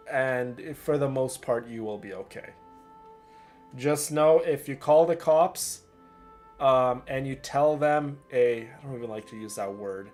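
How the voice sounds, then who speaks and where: A man's voice: a little high, darkish tone. A man with a Canadian accent, a live streamer chatting casually and emphatically, repeating himself for stress. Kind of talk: casual livestream chat.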